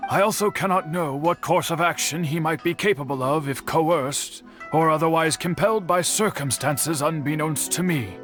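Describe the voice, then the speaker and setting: A man's voice: a little high, a bit bright, soft background music, close to an everyday voice. A man voicing an earnest young man in a video game, worried about a missing friend. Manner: earnest, measured. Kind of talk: game voice acting.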